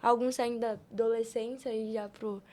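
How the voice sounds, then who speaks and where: Young woman, high-pitched, casual podcast guest, earnest, colloquial, motivational, repetitive for emphasis. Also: flat delivery, moderate pace, warm tone. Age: young adult. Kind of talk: casual conversational talk.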